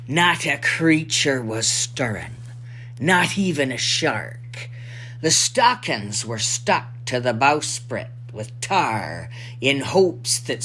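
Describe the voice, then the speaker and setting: A woman voicing a salty old pirate lookout, spinning a rhyming Christmas yarn in a theatrical, drawn-out manner. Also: very deep voice, fairly bright tone, slight background noise, voice pushed down, exaggerated voice. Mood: hushed storytelling.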